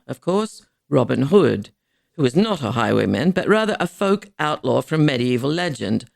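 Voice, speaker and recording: A woman reading a scripted history narration in measured, deliberate phrases. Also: sits low, creaky, a little mellow, no echo, clean studio sound. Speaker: podcast narrator.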